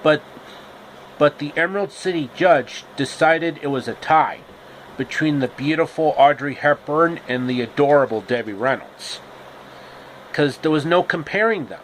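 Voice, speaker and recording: A man narrating a homemade story with deliberate, halting phrasing. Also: on the high side, a little hiss or hum, rough recording.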